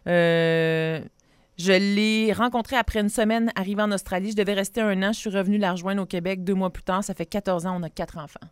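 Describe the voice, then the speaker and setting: Female radio co-host, Quebec French accent, smooth clear voice, medium pitch, casual chat. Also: steady tone, fairly quick, dry recording, clean studio sound. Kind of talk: casual chat reading listener texts.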